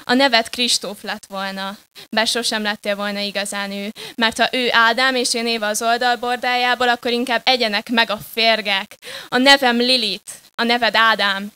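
A young woman performing spoken-word poetry live, deliberate and dramatic, moving between hushed and forceful delivery. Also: on the high side, little pitch movement, clear, resonant tone, dry recording. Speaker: slam poet. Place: live poetry slam stage.

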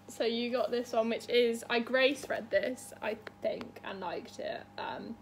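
A woman's voice: a little high, steady tone, a little slow. A woman in her twenties hosting a casual book chat and prompting her companion. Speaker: book vlog host. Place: small room at home, indoors. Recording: faintly noisy home room recording.

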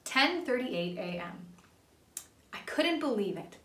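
A young woman reads a children's book aloud with very lively intonation and a bright tone. She is some distance from the microphone in a small, echoey room. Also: on the high side, slow. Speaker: storyteller. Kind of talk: children's storybook read-aloud. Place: small indoor playroom. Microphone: camera a few feet away. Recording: roomy, distant camera microphone.

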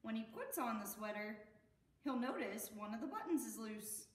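Animated American woman reading to children, roomy echo. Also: a little high, normal speed. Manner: sing-song, expressive, addressing young listeners. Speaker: children's storytime host. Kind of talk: animated picture-book read-aloud to children. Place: indoor room at an arts center. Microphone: camera a few metres away. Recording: roomy echo, distant camera mic.

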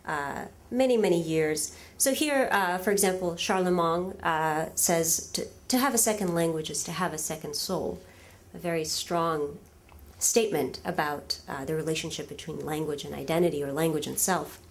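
A woman's voice: low voice, moderate pace. Woman lecturing in a large hall. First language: Russian. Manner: lively, frequent fillers, asks the audience rhetorical questions. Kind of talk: academic lecture to an audience.

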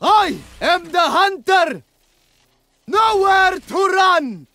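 An actor playing a proud, relentless male hunter loudly declaring lines in a video game. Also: extremely high pitch, animated, very slow, faint music behind, full character voice. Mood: triumphant, fierce. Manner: loud, declaring.

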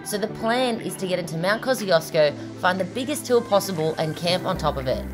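A woman's voice: lowish voice, lively intonation, fairly quick, over music. A young woman with an Australian accent, chatting upbeat about an off-road camping trip as vlog host. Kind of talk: casual travel vlog narration.

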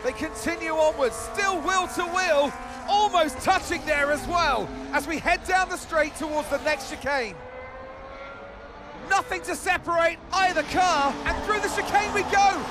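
A man as an excited motor racing commentator, calling the action urgently in a video game. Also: extremely high pitch, measured pace, over music. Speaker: lead race commentator. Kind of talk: live sports commentary.

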